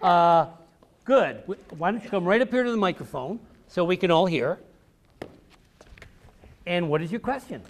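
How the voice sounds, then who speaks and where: A man's voice: high-pitched, expressive, moderate pace, long pauses, slight background noise. An American man, an author, giving an encouraging, theatrical storytelling talk to children in simple, emphatic phrases. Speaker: author and speaker. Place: public library event before children.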